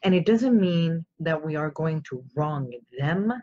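A woman with a notably low, husky voice speaks at a very unhurried pace. She is a native Armenian speaker, giving an informal motivational talk in an emphatic, explanatory way and putting questions to her viewers. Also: expressive, mellow, muffled recording. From Iran. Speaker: host of a self-help live show.

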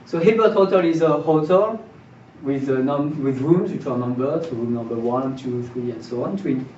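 A man's voice: medium pitch, moderate pace, darkish tone. A young man giving an informal seminar lecture, putting questions to his listeners as he explains. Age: young adult. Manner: rhetorical questions, checks in with listeners. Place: small indoor seminar room. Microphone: far camera. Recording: distant roomy mic, dull, faint noise.

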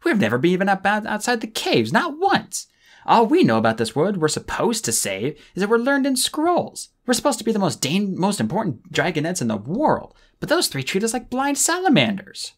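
A man doing a bold young she-dragon, high and defiant. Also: wildly swooping pitch, moderate pace, full character voice. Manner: blurting, defiant, high and loud.

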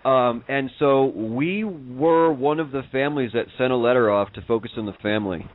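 A young adult man co-hosts a casual interview podcast. His voice sits at an average pitch and he repeats words haltingly as he talks. The audio is narrow, like a phone call.

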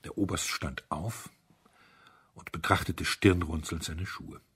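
Husky male storybook narrator. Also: low voice, steady tone, measured pace, a bit bright. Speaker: audiobook narrator. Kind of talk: scripted literary narration.

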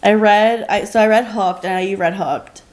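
A woman's voice: mid-range voice, fairly even intonation, a little fast. A woman in her early thirties co-hosting an informal, conversational chat about books. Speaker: podcast co-host. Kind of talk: casual book chat. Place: living room couch, indoors.

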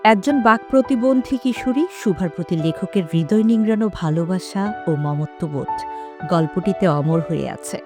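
A woman's voice: lowish voice, normal speed. A woman giving a scripted introduction as presenter of an audio drama. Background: clear background music.

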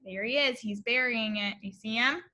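A young woman reading a picture book to children as a teacher, in an expressive, exaggerated way, often addressing her young listeners with questions. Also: fairly high pitch, normal speed. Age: young adult. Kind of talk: animated children's story read-aloud. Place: classroom, indoors.

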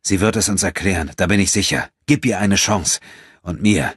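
Vorleser als barscher, rauer, herrischer Mann. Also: male voice, deep voice, moderate pace, slightly rough, no echo, studio quality, voice pushed up. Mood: firm, probing. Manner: brusque, domineering. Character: gruff, commanding man, deliberately harsh, secretly protective. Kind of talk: audiobook character acting.

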